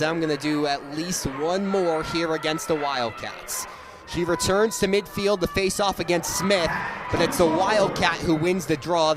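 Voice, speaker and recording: A young man giving energetic live radio play-by-play commentary of a sports game. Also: a little high. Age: young adult. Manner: energetic, rises to excited calls on big plays. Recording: live stadium with crowd noise. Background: crowd noise at an outdoor game.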